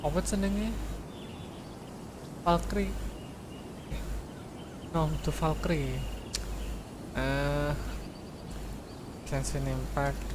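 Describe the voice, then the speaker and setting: A woman's voice: deep voice, extremely slow, pauses often, noisy background. A woman with an Indonesian accent giving casual, playful, muttered commentary while gaming. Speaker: game streamer. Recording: loud game music under the voice.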